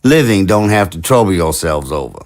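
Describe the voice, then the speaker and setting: A man acts as an undead president in an audio drama. He is affable and diplomatic, with a folksy, hesitant politician's manner. His low voice moves up and down in lively swings. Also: fairly quick. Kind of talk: dramatic dialogue acting.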